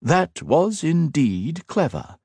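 A man's voice: a little high, big pitch swings, takes it slowly, creaky, darkish tone, slightly rough, no room sound, voice pushed up, full character voice. A male narrator voicing a smug, cruel emperor villain who mocks and taunts his captive in a grandiose way. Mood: gloating.

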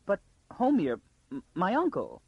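A man's voice: a little high, very animated, normal speed, slightly rough, no echo, lo-fi sound, voice pushed up, heavily acted. A man voicing a frightened, stubborn young girl in an audiobook, hesitant and questioning. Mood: protesting, confused.

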